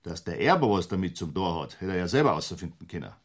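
An actor playing a brusque, sarcastic police superior with a Bavarian accent in a German radio crime drama. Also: male voice, low voice, very animated, fast, heavily acted. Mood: dismissive, commanding. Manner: brusque, condescending, commanding.

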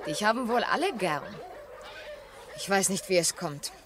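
An actress playing a vain, lively, sharp-tongued young aristocratic woman with a teasing, haughty manner in a 1970s radio drama. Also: mid-range voice, moderate pace, crowd noise, close to an everyday voice.

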